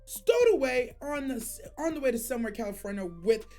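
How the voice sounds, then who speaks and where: A woman hosting a casual reaction video, talking in an animated, slangy way with some swearing. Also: high voice, a little fast, soft background music.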